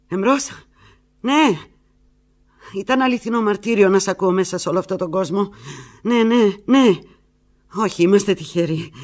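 Αγωνιώδη ερωτευμένη γυναίκα στο τηλέφωνο, με μεγάλες διακυμάνσεις. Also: female voice, lowish voice, darkish tone. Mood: relieved, tense. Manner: pleading, fretful, halting telephone replies. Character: anguished, lovelorn woman alone on the telephone. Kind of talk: theatrical radio monologue.

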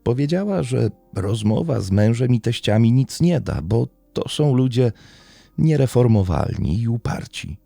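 A man narrating a scripted true-crime story in a measured storytelling manner with dramatic pauses. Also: mid-range voice, sing-song, gravelly, dark tone, no echo.

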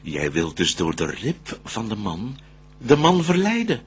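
An actor playing a shrewd, wary devil in a Dutch verse radio drama, declaiming intensely in a raspy voice. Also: male voice, on the low side, wildly swooping pitch, measured pace, exaggerated voice. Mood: probing. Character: shrewd, wary male devil, conspiring accomplice in temptation.

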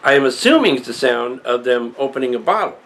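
A man's voice: fast talker, a little hiss or hum. An older man chatting casually to camera as a vlogger, rambling and wry while working on a prop. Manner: rambling, wry, lively pitch. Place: small cluttered room indoors, then patio workshop.

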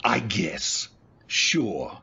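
A man acting a blunt, exasperated cab driver in a radio play. His delivery is slow but swings widely in pitch, with a husky edge, and he sounds incredulous and wary. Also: sing-song, pushed and bright, highs cut off, full character voice. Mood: bemused. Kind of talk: old-time radio drama acting.

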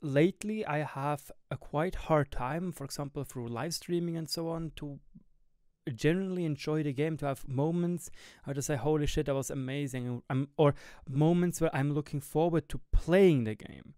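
A young Swiss man with a German-tinged accent speaks close to a boom mic in a small home gaming room, his slightly high, smooth voice rising and falling in a sing-song way. He talks candidly and thoughtfully, often pausing to hunt for the right English word. The sound is dry and close. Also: darkish tone, no room sound. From Switzerland. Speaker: gaming YouTuber and streamer. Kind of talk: reflective personal monologue to camera. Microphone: studio mic on boom arm close to mouth, wearing headset.

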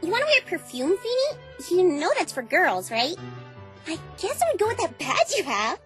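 A woman playing a hyperactive, silly, excitable young party pony with a bubbly, emphatic manner in a fan dub. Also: extremely high pitch, moderate pace, faint music behind, exaggerated voice. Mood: teasing. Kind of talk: fan-dub visual novel character acting.

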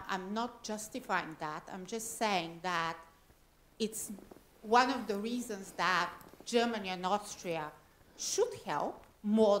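A woman's voice: mid-range voice, a bit bright, slight background noise. A woman from Bulgaria, making an earnest, point-by-point comment at a panel discussion in a library. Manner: earnest, emphatic, argues point by point. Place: library event room.

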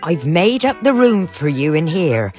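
Low, expressive, fearful housekeeper. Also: female voice, measured pace, music in the background. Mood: deferential. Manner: deferential, old-fashioned, theatrical. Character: fearful, dutiful older housekeeper of a haunted house. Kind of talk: period audio drama acting.

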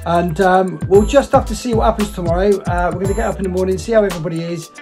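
A middle-aged man chatting casually as a family vlogger at home, his voice going hoarse. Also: high voice, fast talker, music in the background. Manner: chatty, coaxing with his child. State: losing his voice. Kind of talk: casual family vlog chat. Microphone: camera at arm's length.

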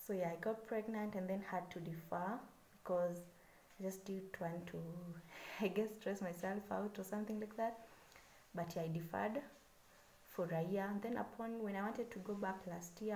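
Young Kenyan woman, mellow, roomy sound. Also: mid-range voice. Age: 20s. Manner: chatty, a little nervous, with many "so yeah" fillers. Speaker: vlogger. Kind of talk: casual self-introduction to camera. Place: small room indoors. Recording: echoey room with faint background hiss.

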